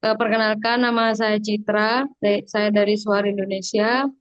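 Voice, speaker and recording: A woman's voice: mid-range voice, flat delivery, moderate pace, no echo. A young woman sharing her view on a video call, hesitant and full of fillers. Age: young adult. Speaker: online forum participant. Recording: dull dry video call audio.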